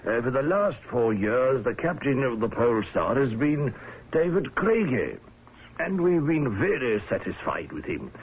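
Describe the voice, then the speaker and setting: Husky, animated whaling boss. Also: male voice, mid-range voice, a little slow, exaggerated voice. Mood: uneasy, confiding. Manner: hesitant, avuncular, chuckling. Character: gruff, genial middle-aged whaling fleet owner. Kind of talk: old-time radio drama acting. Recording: dull, lo-fi old radio broadcast.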